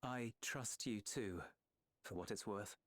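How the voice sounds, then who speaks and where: A man's voice: lowish voice, takes it slowly, fairly bright tone. A man playing an earnest young companion in a fantasy video game, reassuring and sincere in his delivery. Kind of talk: video game dramatic acting. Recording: dry studio voice over game music. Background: game music.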